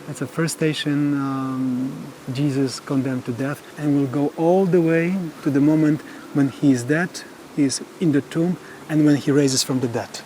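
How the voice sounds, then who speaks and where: A man whose first language is Polish, a monk explaining his monastery grounds on a tour in slow, earnest English. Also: on the high side, fairly even intonation, dark tone, a little hiss or hum, low-quality recording. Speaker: Carmelite monk giving a tour. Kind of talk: casual guided tour conversation.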